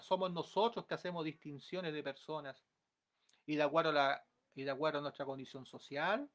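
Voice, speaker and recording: A man in his thirties with a fairly high voice preaches an exhorting sermon into a webcam at close range. His delivery is earnest and builds into forceful pleas, recorded in a small room at home.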